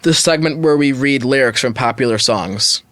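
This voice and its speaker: A man's voice: medium pitch, normal speed, fairly bright tone, dry recording. A man hosting a podcast with casual, comedic banter. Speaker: podcast host.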